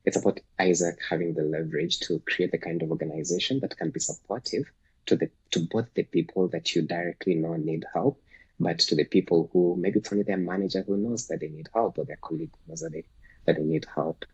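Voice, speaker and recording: A man from Kenya, Luo his first language, talks in a low, very raspy voice. He reflects thoughtfully, stopping and restarting with fillers. The sound is dry and close, with no room around it. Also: brisk, a little mellow, no echo, rough recording. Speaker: guest, startup founder. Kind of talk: reflective podcast interview.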